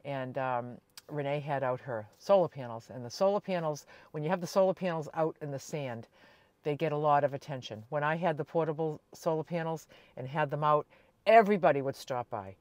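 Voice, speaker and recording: A woman in her sixties chatting casually to camera as a vlogger, telling everyday stories in a chatty, animated way. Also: deep voice, no room sound. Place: inside a small camper van.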